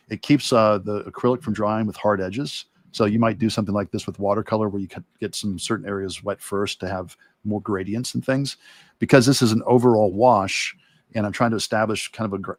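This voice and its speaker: A man's voice: fairly low pitch, no echo. A man giving a casual instructional demonstration as an illustrator, thinking aloud in loose, broken asides as he works. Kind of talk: casual instructional talk while working.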